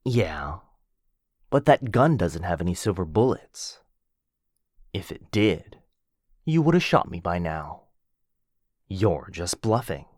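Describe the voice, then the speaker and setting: A man's voice: low-pitched, sing-song, slow, pauses often, darkish tone, dry recording, studio quality, exaggerated voice. A man voicing a cocky, jealous werewolf who mocks his rival and teases with a lot of bounce. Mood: wary. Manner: cocky, mocking, bouncy teasing. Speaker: voice actor in boyfriend roleplay. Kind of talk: ASMR roleplay acting.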